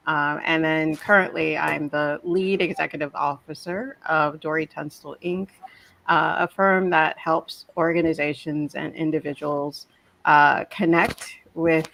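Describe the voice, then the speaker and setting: A woman introducing herself as a panelist on a video call, speaking in a measured way with frequent hesitations. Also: sits low, vocal fry, a bit bright, rough recording.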